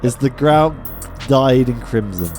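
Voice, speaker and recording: A man's voice: mid-range voice, lively intonation, takes it slowly, a little mellow. A man streaming a visual novel, reading it aloud theatrically and adding casual commentary. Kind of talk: live narration with casual commentary. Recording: game music behind the voice. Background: video game music.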